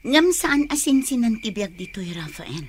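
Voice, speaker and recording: A lively, probing Ilocano big sister. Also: female voice, on the high side, moderate pace, slight background noise. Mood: questioning, cautioning. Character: probing, protective elder-sister member of mountain rebel band. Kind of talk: Ilocano radio drama acting.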